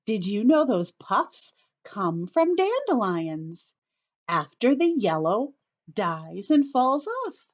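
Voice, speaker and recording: A woman leads story time for little children at an unhurried pace, her voice swinging widely in pitch. She reads from a picture book and explains it simply, like a teacher checking that they follow. The sound is slightly dull and lo-fi. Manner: animated, teacherly, asking the listeners questions.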